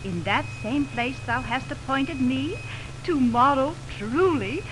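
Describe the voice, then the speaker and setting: Young female lover, devoted and earnest, high-pitched, stagey verse for vintage radio drama with heavy surface noise. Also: lively intonation, slow pace. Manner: declamatory verse delivery. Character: Hermia, lovestruck young Athenian woman, earnest and devoted. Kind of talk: 1920s radio Shakespeare acting. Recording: noisy 1929 radio aircheck on film.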